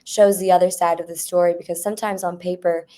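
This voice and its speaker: A woman's voice: on the low side, level tone, fast, warm tone. A young woman speaking as a podcast guest in a conversational panel discussion. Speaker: student board representative, podcast guest.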